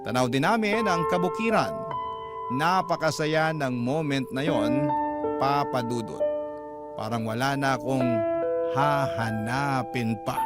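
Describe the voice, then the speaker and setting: A man's voice: medium pitch, slow. A man with a Filipino accent narrating a scripted first-person love story as a radio drama narrator. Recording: loud music bed behind. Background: guitar music.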